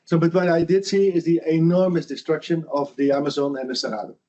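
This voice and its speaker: A man's voice: fairly high pitch, steady tone, fast talker, warm tone. Dutch man giving an earnest lecture. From Netherlands. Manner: earnest, conversational, with frequent restarts. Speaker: foundation founder giving a lecture. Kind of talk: lunch lecture presentation. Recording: dull lo-fi sound.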